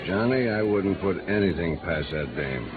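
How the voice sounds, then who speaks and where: An actor playing a disillusioned, hard-drinking American newspaper reporter in 1950s radio noir, sardonic, bitter and world-weary. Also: male voice, low-pitched, animated, normal speed, phone-quality sound. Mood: cynical. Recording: band-limited old radio recording with music.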